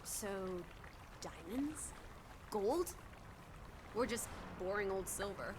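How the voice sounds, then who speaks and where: A woman's voice: mid-range voice, lively intonation, takes it slowly, noisy background. A woman voicing a cheeky, playful teenage girl companion in a video game. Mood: inquisitive. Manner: teasing, playful, exclaiming.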